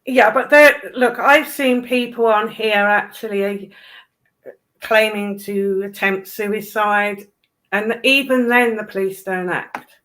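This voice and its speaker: A woman's voice: mid-range voice, steady tone, slow pace, a bit bright, poor audio. A woman explaining things in a casual discussion, emphatically repeating her points for stress.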